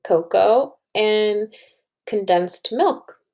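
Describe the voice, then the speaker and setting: A woman's voice: mid-range voice, extremely slow, a little mellow. A woman teacher doing a children's read-aloud and story discussion, thinking aloud, recorded indoors at a computer.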